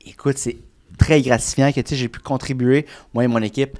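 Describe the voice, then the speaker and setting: A man in his thirties with a Quebec French accent, a real estate broker chatting casually as a podcast guest, often restarting his sentences and filling pauses. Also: medium pitch, fairly even intonation, darkish tone. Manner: rambling, many restarts and fillers.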